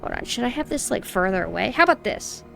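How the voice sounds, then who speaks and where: A young woman with a high voice, chatting casually and snarkily on a stream, her close mic clipping on loud peaks. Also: big pitch swings, fast, gravelly, faint music behind. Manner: chatty, rambling, mockingly snarky. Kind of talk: casual reaction stream chat. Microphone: close mic that clips loud peaks.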